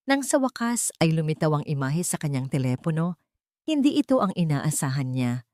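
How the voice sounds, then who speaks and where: Casting: female Filipino storyteller, lowish register, scripted dramatic delivery, very dry recording. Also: sing-song, moderate pace, warm tone, no echo. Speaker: story narrator.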